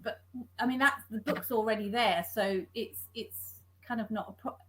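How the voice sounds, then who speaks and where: A woman's voice: medium pitch, normal speed, a little hiss or hum, low-quality recording. A woman chatting as a panel guest about her work, tentative and often correcting herself. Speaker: scriptwriter on a panel. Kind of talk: casual panel talk.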